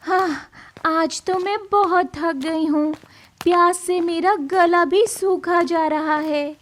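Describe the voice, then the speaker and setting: A lonely outcast village girl from a cartoon moral tale, voiced by a woman, meek and plaintive. Her voice is high and unhurried, with noticeable noise in the recording. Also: steady tone. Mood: weary, resolved. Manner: plaintive, talking to herself or pleading. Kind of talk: Hindi cartoon moral story dialogue.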